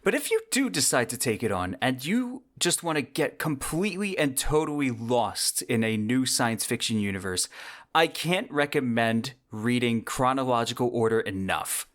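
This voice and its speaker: A man's voice: medium pitch, lively intonation, moderate pace, a bit bright. A man hosting a podcast, delivering scripted solo commentary in a conversational, enthusiastic way. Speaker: podcast host.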